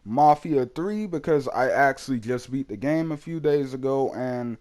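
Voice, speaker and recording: A young man hosting his gaming channel, reviewing a game in casual, rambling talk full of fillers, his voice a bit hoarse from a cold. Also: medium pitch, normal speed, darkish tone. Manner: rambling, many fillers and restarts.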